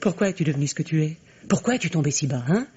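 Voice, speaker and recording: A woman's voice: deep voice, expressive, racing, mellow, husky, highs cut off, exaggerated voice. A middle-aged woman playing a bitter, mocking wife in a theatrical French radio drama style. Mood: mocking, sarcastic. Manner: sarcastic, theatrical.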